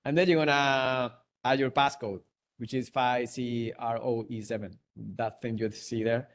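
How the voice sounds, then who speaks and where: A man's voice: mid-range voice, steady tone, a little slow. A young man with a Venezuelan Spanish accent, hosting a live trivia webinar on a video call in a playful way, laughing and joking. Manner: playful, laughs often, hums and sings along. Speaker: webinar host.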